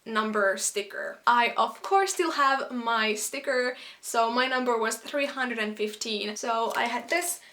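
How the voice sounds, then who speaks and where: A young woman from Finland, a native Finnish speaker, chatting casually to camera as a vlogger in an animated storytime style. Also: a little high. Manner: chatty and animated, quick asides and quoted exclamations.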